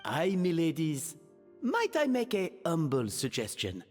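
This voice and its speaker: A man playing a flamboyant, mischievous gentleman in an anime video game, courtly and teasing in his delivery. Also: on the high side, wildly swooping pitch, measured pace. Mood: amused, teasing. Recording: reverberant hall, music behind.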